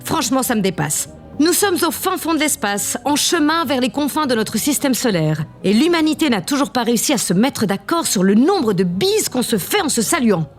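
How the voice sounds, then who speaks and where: An actress plays a vain spaceship president in a comic audio drama. Her voice is on the high side and swoops freely, imperious and pleased with itself, over a bed of music. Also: big pitch swings, normal speed. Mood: exasperated. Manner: self-satisfied, imperious. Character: vain, haughty, bored female president of a spaceship. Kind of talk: comedic audio drama acting.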